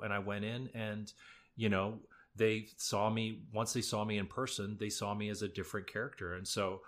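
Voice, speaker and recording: Laid-back man with a bright low voice. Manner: laid-back, self-deprecating, chatty. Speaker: musical theatre actor, guest. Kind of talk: casual storytelling interview.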